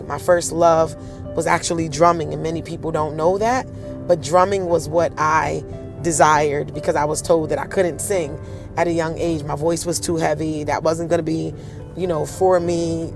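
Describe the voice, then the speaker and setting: A woman with a deep, husky voice hosts a casual storytelling tour outdoors, animated and acting out the voices of people she quotes. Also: normal speed, music in the background. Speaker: host.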